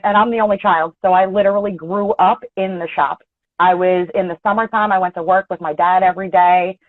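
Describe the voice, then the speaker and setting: A woman's voice: mid-range voice, dry recording. A woman with a Philadelphia accent tinged with North Jersey, chatting casually and bluntly as an interview guest over a video call, laughing easily. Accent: Philadelphia with some North Jersey. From Philadelphia area, USA. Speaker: guest, auto body and paint tech. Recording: tinny dry call audio.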